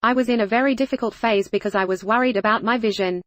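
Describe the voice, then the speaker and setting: A woman giving a personal testimonial, speaking in a quick, matter-of-fact way. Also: a little high, no room sound.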